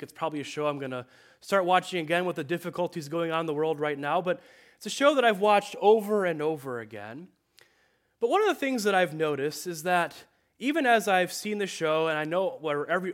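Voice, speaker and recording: A man in his thirties, a pastor, reading scripture and preaching in a conversational way with many fillers, his voice congested and a little nasal. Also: a little high. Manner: conversational with frequent fillers and self-corrections.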